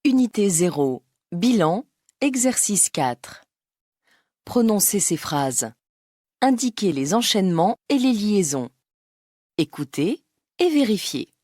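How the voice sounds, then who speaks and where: A woman reading textbook exercise instructions in a slow, articulate way. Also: medium pitch, very animated, mellow, no echo, studio quality. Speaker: narrator of exercises.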